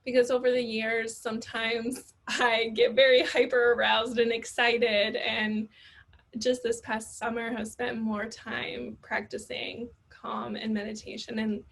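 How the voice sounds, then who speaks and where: A woman's voice: a little high, flat delivery, unhurried, creaky. A young adult woman hosting a casual, reflective interview, tentatively searching for her words. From grew up in a Western country. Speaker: podcast host.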